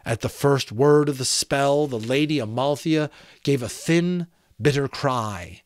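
A man in his thirties reads a fantasy novel aloud as an expressive, dramatic audiobook narrator, close to a desk microphone in a small room. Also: mid-range voice, a little slow, no echo. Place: small home room with sloped ceiling, indoors.

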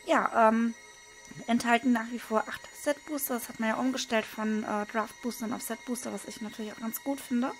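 A hoarse woman talks through an unboxing at her desk, her voice rough and gravelly. She sits a little higher than most women, with soft music faint underneath. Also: a little fast, low-quality recording. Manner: relaxed, with frequent hesitation fillers. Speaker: card unboxing video host. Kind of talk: casual unboxing commentary. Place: indoors at a desk, hands over table. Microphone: small camera-mounted microphone.

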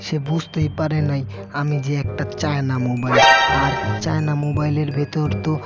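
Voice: medium pitch, steady tone, moderate pace, close to an everyday voice. An actor voicing a joking, sly husband in a comic cartoon skit, with a teasing, mock-sincere delivery. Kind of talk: cartoon comedy skit dialogue. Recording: dull lo-fi audio with loud music.

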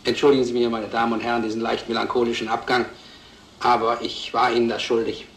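An actor playing a wry, melancholy gentleman making a formal, reflective speech in a radio drama. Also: medium pitch, level tone, quick pace, echoey room, a little hiss or hum, natural voice, poor audio. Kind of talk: radio drama acting, after-dinner speech.

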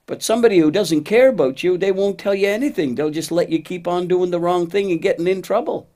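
A man voicing a steady, kindly cow who talks plainly and patiently, gently teaching, in a children's puppet show. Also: high-pitched, fast talker, lightly acted. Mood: gently instructive. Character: steady, faithful cow, a wise kindly friend.

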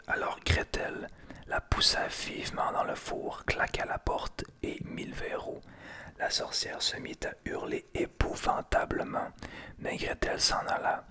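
A man reading a fairy tale aloud in a soft ASMR whisper. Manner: unvoiced whisper throughout. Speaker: storyteller.

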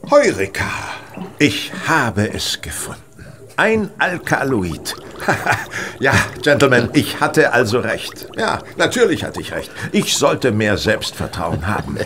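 A brilliant, excitable detective in a radio drama. His delivery is highly animated and theatrical, sweeping up and down as he lectures with great self-assurance. Clear effect noise sits behind the voice. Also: takes it slowly. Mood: triumphant. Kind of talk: German radio play acting.

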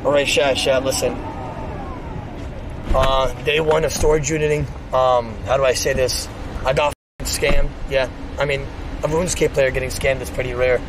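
A man in his thirties streaming live from a car and parking lot, joking loudly with his chat and friends on a body-worn camera mic. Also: medium pitch, little pitch movement, moderate pace, pauses often. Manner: loud, jokey, addresses chat, self-mocking. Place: parked car and outdoor lot. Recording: loud outdoor noise.

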